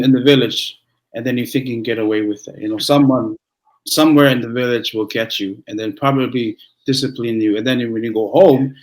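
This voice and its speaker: A young man from Tonga, whose first language is Tongan, speaking as a pastor in a relaxed interview with frequent restarts and fillers. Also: medium pitch, rough recording. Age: young adult. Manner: halting, many restarts and fillers. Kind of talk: conversational interview.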